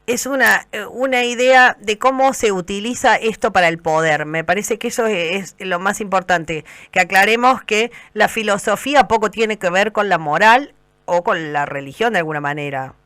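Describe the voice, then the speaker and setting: A woman hosts a radio interview, posing friendly and direct questions sprinkled with small fillers. Her voice is bright and forceful, and the sound is dry and close. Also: medium pitch, moderate pace, no echo. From southern Argentina, Andes border area. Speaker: radio host.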